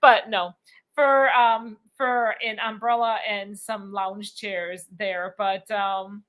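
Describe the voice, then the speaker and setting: A woman's voice: medium pitch, level tone, takes it slowly, fairly bright tone. A middle-aged woman hosting a casual cruise live stream, chatting, laughing often and reading out viewer comments. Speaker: cruise vlog host.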